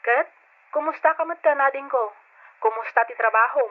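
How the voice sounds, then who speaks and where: An actress playing a concerned, caring older sister in an old radio drama, speaking in a solicitous, emotional manner. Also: female voice, high-pitched, normal speed, lightly acted. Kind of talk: old-time radio drama acting in Ilocano. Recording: thin phone-like radio drama sound.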